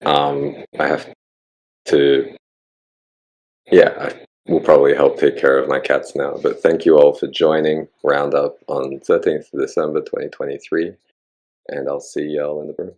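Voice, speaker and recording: A man with an Australian accent hosting a casual online group chat, musing in a relaxed way with frequent ums. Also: a bass voice, measured pace, rough recording. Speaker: podcast host.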